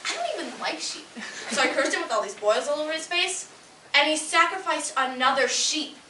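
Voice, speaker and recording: A woman's voice: high-pitched, lively intonation, a little fast, pushed and bright. A woman playing a petulant young goddess in a comedic stage monologue, whining and exaggerating like a teenager. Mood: exasperated. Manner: whiny, exaggerated teen-like storytelling. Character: petulant, bratty young goddess, ancient yet treated like a kid. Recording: distant, echoey room with faint noise.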